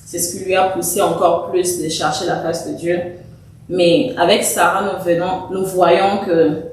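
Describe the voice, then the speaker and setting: A woman's voice: lowish voice, flat delivery, a little slow. A woman hosting an interview, who politely thanks her guest and sums up the point before asking her question. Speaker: host. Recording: very echoey room, distant mic, faint noise.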